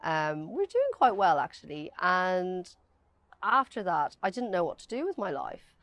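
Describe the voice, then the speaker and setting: A woman's voice: on the low side, big pitch swings, unhurried, a bit bright, no echo. A woman who writes and illustrates children's books, talking chattily and correcting herself as she goes. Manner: chatty and self-correcting, with laughs. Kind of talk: casual interview talk.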